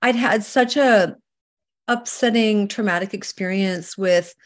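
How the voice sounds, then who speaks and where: A middle-aged woman from Canada, a holistic healer answering interview questions in an earnest, emphatic way that sometimes slows down to make a point. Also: mid-range voice.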